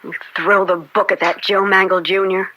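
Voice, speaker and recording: Woman playing a bright, fiery young daughter. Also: mid-range voice, level tone, normal speed, natural voice, poor audio. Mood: insistent. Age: young adult. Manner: emphatic, insistent. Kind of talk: old-time radio drama acting.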